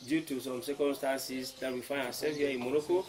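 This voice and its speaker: A man from Cameroon with a Cameroonian accent, English his first language, giving a halting welcome speech with many fillers, switching between French and English. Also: medium pitch, steady tone, a crowd in the background. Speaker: host of the gathering. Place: room in a private home.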